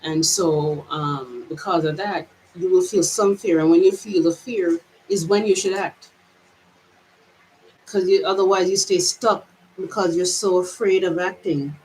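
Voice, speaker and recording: A woman's voice: lowish voice, a little slow, darkish tone, slight background noise, poor audio. A woman from Jamaica with a mixed Jamaican and American accent, giving a reflective personal vlog monologue in a hesitant, searching way with many restarts. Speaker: vlogger.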